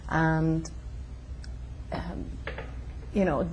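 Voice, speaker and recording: A woman from Sweden, a guest in an interview at her home, who speaks haltingly with many fillers. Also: low-pitched, flat delivery, darkish tone, a little hiss or hum, rough recording. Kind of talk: conversational interview.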